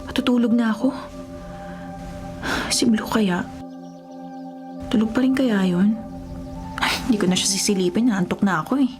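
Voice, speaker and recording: A woman's voice: mid-range voice, moderate pace, pauses often, lightly acted. An actress playing a boyish, easygoing and caring young woman in a radio drama, speaking casually. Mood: musing. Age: young adult. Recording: music bed behind radio drama dialogue. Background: music.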